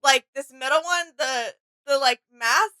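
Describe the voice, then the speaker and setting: A woman's voice: high voice, unhurried, bright, forceful tone. A young woman chatting casually as co-host of a reaction video. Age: young adult. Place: indoor home studio room.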